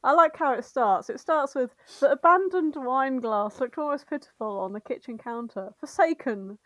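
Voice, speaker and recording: A woman's voice: sits high, lively intonation, normal speed, bright, forceful tone, no echo. A woman co-hosting in casual comic chat, giggly, sweary and self-deprecating as she recaps what she has been reading. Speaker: podcast co-host.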